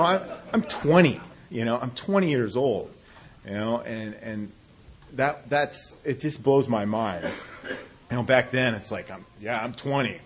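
A man sharing his own recovery story in a halting, self-deprecating way, often restarting sentences and laughing at himself. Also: fairly low pitch, a little hiss or hum. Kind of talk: personal testimony talk.

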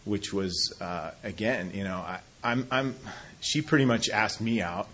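A man sharing his own recovery story in a halting, self-deprecating way, often restarting sentences and laughing at himself. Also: on the low side, a bit bright, husky. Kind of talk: personal testimony talk.

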